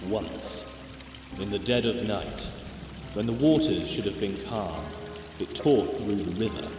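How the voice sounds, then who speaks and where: A man's voice: lowish voice, unhurried, natural voice. A man playing a stern, haunted trawler captain in an audio drama, grave and commanding. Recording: echoey distant voice over music.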